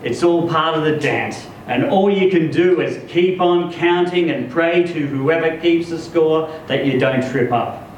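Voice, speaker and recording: A man giving an expressive live reading of a first-person literary story, acting out the dialogue as he goes. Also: fairly high pitch, a little slow, hardly any pauses. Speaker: story reader. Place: live reading event in an echoey room. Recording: echoey room, distant mic, noisy.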